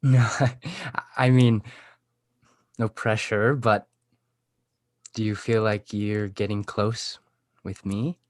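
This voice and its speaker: A man playing an earnest, lovesick sixteen-year-old boy in a comedy table read, halting and pleading. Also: on the low side, steady tone, darkish tone, no room sound, studio quality. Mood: earnest, hopeful.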